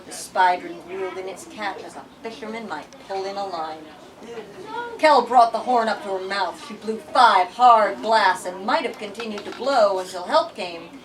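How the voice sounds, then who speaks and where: A woman reading a story aloud to a group in an expressive, emphatic way. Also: medium pitch. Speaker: bookstore lit talk leader reading aloud. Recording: distant microphone, room with audience noise.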